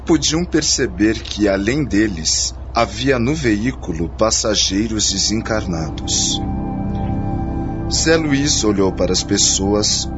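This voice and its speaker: Low-voiced man narrating radio drama. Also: lo-fi sound.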